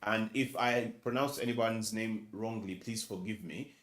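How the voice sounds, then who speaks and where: A man from Uganda with a Luganda-influenced accent, moderating a press conference and formally introducing panelists with a measured delivery and some fillers. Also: lowish voice, a bit bright, reverberant. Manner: measured, reads bios with fillers.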